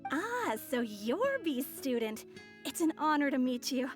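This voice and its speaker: A woman's voice: high voice, sing-song, measured pace, over music. An actress playing a sly, self-assured young woman, speaking with poised, teasing theatricality in a video game cutscene. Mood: poised, formal.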